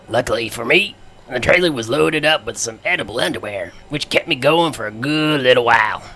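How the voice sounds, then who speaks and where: Gravelly folksy Southern alien trucker. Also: male voice, mid-range voice, pushed and bright, heavily acted. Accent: Southern American. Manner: drawling storyteller. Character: folksy rambling alien trucker, dim and good-natured. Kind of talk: comedic audio drama acting.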